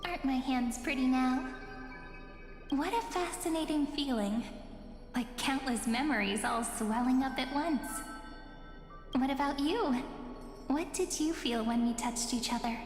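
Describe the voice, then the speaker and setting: A woman playing a gentle, wistful young woman in a game cutscene, tender and earnest, in echoing reverb with music. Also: high-pitched, a little slow, pauses often. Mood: delighted, tender. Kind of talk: scripted game cutscene acting. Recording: echoey hall reverb under loud music.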